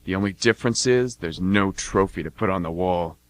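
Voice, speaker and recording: An actor playing a menacing, drunken war veteran in a radio drama, taunting and swaggering in folksy slang. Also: male voice, low voice, a little fast, no echo, natural voice. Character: menacing, drunken brother, boastful war veteran turned mercenary. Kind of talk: amateur radio drama acting.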